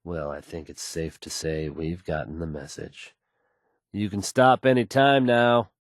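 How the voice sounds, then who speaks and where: Self-assured radio DJ, dry sound. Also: male voice, sits low, unhurried, dry recording. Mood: assured, wry. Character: smooth, self-assured male radio DJ and announcer. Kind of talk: radio announcing, video game character acting.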